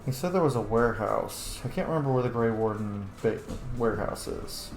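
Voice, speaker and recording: A man's voice: mid-range voice, brisk. A man hosting a gameplay video with casual, informal commentary, muttering to himself as he goes. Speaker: gaming video host. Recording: roomy echo, faint background noise.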